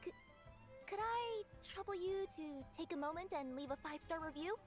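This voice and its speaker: A young cat spirit courier from an anime game. Her high voice is earnest, polite and eager, with music clearly playing behind it. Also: expressive, fairly quick. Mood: polite, grateful. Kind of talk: anime video game voice acting.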